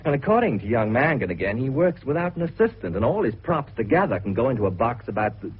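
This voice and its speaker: Young British man, suspenseful storyteller, lively intonation, radio drama, thin band-limited old recording. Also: mid-range voice, quick pace, breathy edge, phone-quality sound. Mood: intrigued. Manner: storytelling, suspenseful, hesitant asides. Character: earnest young man recounting an eerie mystery.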